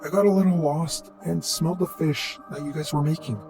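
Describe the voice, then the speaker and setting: A man voicing a strange, eager lost wanderer who speaks in an overly polite, halting way, in a storytelling narration. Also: fairly high pitch, steady tone, darkish tone, faint music behind, voice pushed up, lightly acted, rough recording. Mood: polite, friendly.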